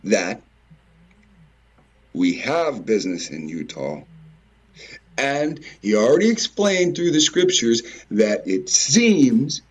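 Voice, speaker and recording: A man giving informal, rambling commentary in a halting way, repeating words and swearing mildly now and then. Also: medium pitch, sing-song, poor audio. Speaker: video presenter. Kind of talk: informal rambling commentary over on-screen images.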